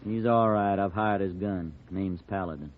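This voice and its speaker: A man's voice: sits low, normal speed, phone-quality sound, lightly acted. A man playing a jumpy, surly jailed killer in an old radio western. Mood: edgy, surly. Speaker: radio drama actor. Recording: thin, hissy old radio recording.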